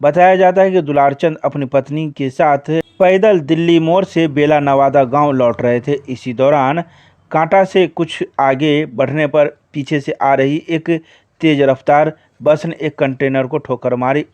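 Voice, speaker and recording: A man's voice: on the high side, unhurried, darkish tone, no echo, highs cut off. A man reading scripted local news reports as a news reader.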